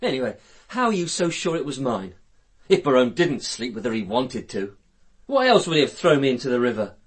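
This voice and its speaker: A sneering, husky British killer. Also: male voice, medium pitch, sing-song, fairly quick. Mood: bitter contempt. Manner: sneering, taunting. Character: contemptuous, bitter male murderer holding hostages at gunpoint. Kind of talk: radio drama acting.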